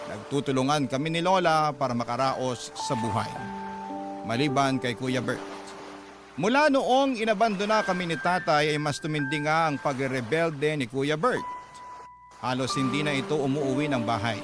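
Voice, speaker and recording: Somber male narrator over loud music. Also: on the high side. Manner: earnest, somber storytelling. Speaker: radio drama narrator. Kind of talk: scripted narration of a letter.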